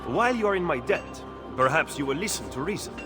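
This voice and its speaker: A man's voice: a little high, sing-song. A man playing a stern, cautious political ally, speaking formally and curtly in a video game. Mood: stern, advising. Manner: formal, measured. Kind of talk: video game cutscene acting. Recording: game music behind.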